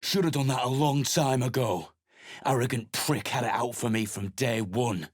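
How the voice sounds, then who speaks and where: A middle-aged man voicing a surly, weary male mercenary adventurer in a video game, talking in a gruff, blunt and sarcastic way. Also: mid-range voice, normal speed, no echo, studio quality, lightly acted. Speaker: game character.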